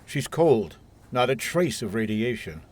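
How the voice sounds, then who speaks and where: An actor playing a brisk, authoritative military colonel in a radio drama. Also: male voice, mid-range voice, sing-song, darkish tone. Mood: grave, puzzled. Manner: authoritative, commanding. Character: brisk male military colonel commanding an underground bunker.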